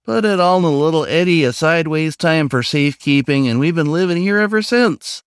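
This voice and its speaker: A man's voice: fairly quick, smooth, dry recording, studio quality, natural voice. A man voicing an eager, chatty, lonely talking raccoon in a story, lecturing and pleading. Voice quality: squeaky when pleading.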